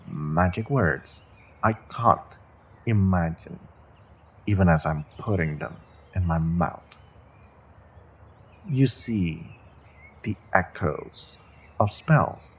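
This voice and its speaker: A man's voice: deep voice, gravelly, husky, full character voice. A man playing a menacing, condescending evil sorcerer in a fantasy audio roleplay, speaking to a captive with theatrical deliberation. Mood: grudging admiration. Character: menacing, controlled evil male sorcerer, captor taunting prisoner. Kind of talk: scripted fantasy audio roleplay, intimate second-person monologue.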